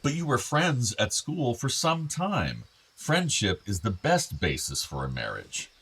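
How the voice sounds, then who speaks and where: A man's voice: medium pitch, very animated, measured pace, fairly bright tone, slightly rough, exaggerated voice. An actor playing an older, meddling, wistful father in a naturalistic radio play, with bookish, formal phrasing. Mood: wistful, pleading. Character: older father, wistful, meddling, urging his son to marry.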